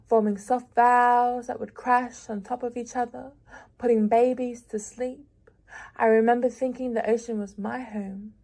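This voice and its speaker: A young woman reads her own lyrical writing aloud, taking her time with each phrase. Her voice sits somewhat high, and her delivery is unhurried, measured and reflective, with long pauses between lines. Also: darkish tone. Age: young adult. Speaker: prize-winning young writer.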